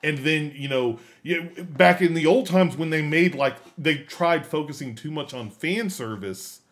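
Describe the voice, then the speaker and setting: Slightly high-voiced man, halting podcast host. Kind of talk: casual podcast discussion.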